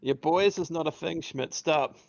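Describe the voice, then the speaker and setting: Man reading an awkward young guy, earnest, rambling, gravelly, lo-fi recording. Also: on the high side, measured pace, dry recording. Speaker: podcast co-host reading a part. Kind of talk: amateur table read.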